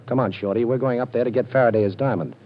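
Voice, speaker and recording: An actor playing a cool, wisecracking ex-con detective in a 1940s radio drama, talking in quick, breezy wisecracks. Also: male voice, mid-range voice, close to an everyday voice. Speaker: lead detective. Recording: dull lo-fi old radio with faint hiss.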